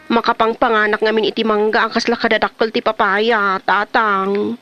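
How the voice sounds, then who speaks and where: A woman's voice: mid-range voice, flat delivery, moderate pace, bright, forceful tone, muffled recording, faint music behind, lightly acted. A woman playing a plaintive pregnant wife who is fed up with her lazy husband in a comedy drama. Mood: complaining.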